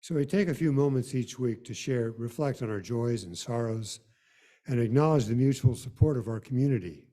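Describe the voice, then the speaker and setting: A man leading a worship service in an informal, unhurried, gently guiding way. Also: mid-range voice. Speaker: worship leader.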